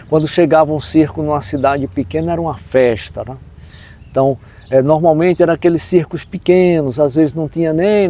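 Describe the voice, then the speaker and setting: A man in his sixties with a northeastern Brazilian accent, reminiscing informally as an interview guest in a halting way, often adding tag words and repeating himself. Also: on the high side, mellow. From rural northeastern Brazil. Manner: halting, frequent 'né' tags and repetitions. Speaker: interview guest, historian and teacher.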